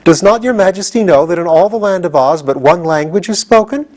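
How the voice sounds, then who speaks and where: A man's voice: high-pitched, fairly quick, a little mellow, voice pushed up, lightly acted. A man voicing a sweet, mischievous young palace maid in a storybook, demure and playful. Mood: merry. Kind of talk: storybook character reading.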